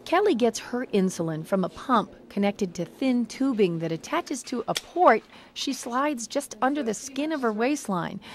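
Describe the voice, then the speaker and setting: A woman's voice: a little high, expressive, normal speed, hardly any pauses, slight background noise. An American woman reporter reading a scripted television news feature.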